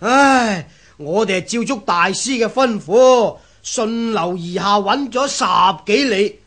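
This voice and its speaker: An actor playing an excitable, simple, grumbling labourer in a radio drama, exclaiming and repeating himself. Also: male voice, high voice, slow, full character voice. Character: Chen, excitable, simple, grumbling male labourer searching a river. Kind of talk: Cantonese radio drama acting.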